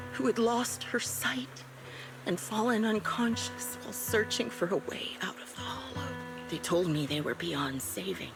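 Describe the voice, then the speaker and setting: An actress playing a haunted, vengeful ex-soldier woman in a video game, measured and brooding, coldly resigned as she speaks. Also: mid-range voice, lightly acted, rough recording. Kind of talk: scripted video game story acting.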